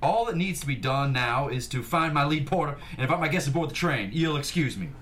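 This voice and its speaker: A man's voice: on the high side, expressive, a little fast, a bit bright, slight background noise. A man playing a grand, proud industrialist in a table read of a period drama. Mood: curt, firm. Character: grand, proud industrialist, commanding railway tycoon. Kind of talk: table read acting, public speech.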